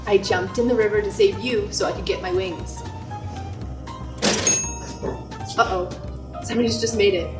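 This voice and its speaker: A woman's voice: mid-range voice, fairly even intonation, brisk, lightly acted. A woman playing an earnest, childlike second-class guardian angel, fussy and chatty, in an amateur radio play. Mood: innocent. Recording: roomy echo with music behind.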